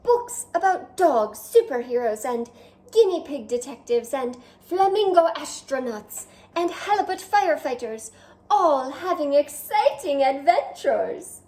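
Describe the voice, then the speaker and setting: A woman's voice: high-pitched, sing-song, unhurried, darkish tone. A woman voicing a vain, dramatic male French snail who speaks theatrically, admires himself and talks straight to the listener. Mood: grandly chatty. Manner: theatrical, self-admiring, addresses listener directly. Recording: roomy echo.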